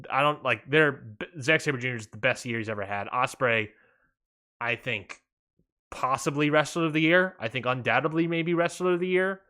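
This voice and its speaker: A man's voice: medium pitch, normal speed, pushed and bright. A man hosting a wrestling podcast, recapping matches in an enthusiastic, rambling way. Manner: enthusiastic, rambling with fillers and restarts. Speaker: podcast host.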